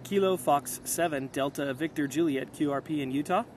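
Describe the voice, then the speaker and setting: A man's voice: fairly high pitch, moderate pace, heavy background noise. A man speaking casually outdoors as an amateur radio operator, narrating and making calls with hesitant fillers. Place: outdoors on a hillside.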